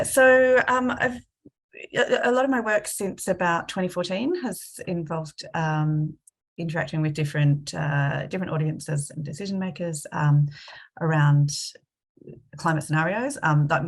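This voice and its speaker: Woman researcher with a cold, low monotone voice, hesitant delivery. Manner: hesitant, many fillers. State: coming down with a cold, sniffly. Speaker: independent consultant and researcher. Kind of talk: online panel talk.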